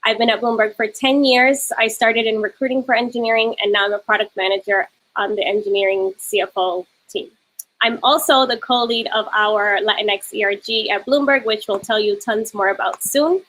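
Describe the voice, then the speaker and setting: A woman from New York City presenting slides and moderating a panel on a video call in a clear, steady way. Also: fairly high pitch, moderate pace, low-quality recording.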